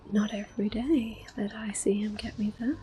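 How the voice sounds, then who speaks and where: A soft-spoken woman giving gentle ASMR gameplay commentary, often slipping into a whisper close to the microphone. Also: medium pitch, fairly even intonation, moderate pace, slight background noise. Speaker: host of a gameplay series. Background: soft game music.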